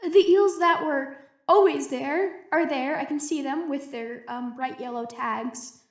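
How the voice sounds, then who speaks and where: Scared lone female researcher, played by a woman, high voice, slow halting delivery with stammers, audio drama log in an echoey room. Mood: agitated, alarmed. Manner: hesitant, stammering, anxious. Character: frightened, isolated young woman researcher keeping a log. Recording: echoey roomy recording.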